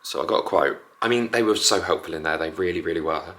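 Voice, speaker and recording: Deep, croaky-voiced man vlogging. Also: fast, fairly bright tone. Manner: chatty, joking asides. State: croaky, hoarse voice. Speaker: family vlogger. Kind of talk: casual vlog chat to camera. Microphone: handheld vlog camera.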